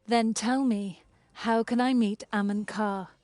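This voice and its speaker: An actress playing a determined, assertive young heroine in a game cutscene. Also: female voice, fairly high pitch, steady tone, a little slow, a little mellow, dry recording, close to an everyday voice. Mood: resolute. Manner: direct, assertive. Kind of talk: scripted game cutscene acting.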